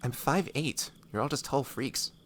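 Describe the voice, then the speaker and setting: A man voicing a short, cheeky young nephew among travelers, chatty and excitable, in a fantasy audio roleplay. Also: medium pitch, a little slow, a little hiss or hum, pitched above the performer's own voice, lightly acted. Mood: indignant.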